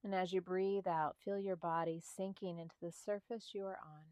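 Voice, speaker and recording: Female meditation narrator, slightly low register, gentle breathy softness, soothing spaced delivery, dry studio-like sound. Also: no echo. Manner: slow, calm, soothing delivery with spaced short phrases. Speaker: meditation guide.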